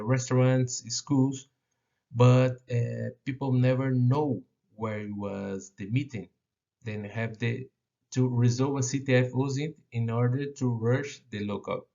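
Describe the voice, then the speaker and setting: Slow, gravelly Brazilian man presenting. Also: medium pitch, fairly even intonation, mellow, lo-fi sound. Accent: Brazilian Portuguese. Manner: halting non-native English with fillers and restarts. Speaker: conference speaker. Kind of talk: conference presentation.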